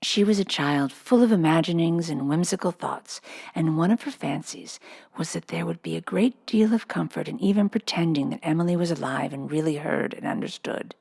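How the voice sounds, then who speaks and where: A woman's voice: deep voice, normal speed, vocal fry, a little mellow, breathy edge. A woman reading a children's storybook aloud as scripted narration. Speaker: audiobook reader.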